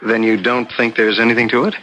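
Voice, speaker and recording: Actor as an uneasy, earnest man, bright voice. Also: mid-range voice, level tone, fast talker, natural voice. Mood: earnest, insistent. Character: uneasy, puzzled writing adviser Mister Monroe, conscientious man. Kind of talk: 1970s radio drama acting. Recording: noisy old radio broadcast recording.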